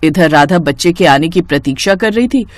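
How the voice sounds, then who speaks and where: A woman's voice: lowish voice, animated, brisk, dry recording, clean studio sound. A woman reading a scripted devotional story as its storyteller. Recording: music behind the voice. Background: background music.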